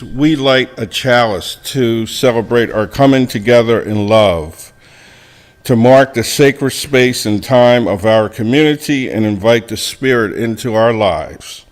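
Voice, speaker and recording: A man co-leading a church service, reading the call to worship and chalice lighting in a measured, solemn way. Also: medium pitch, animated. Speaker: service co-leader. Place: church sanctuary.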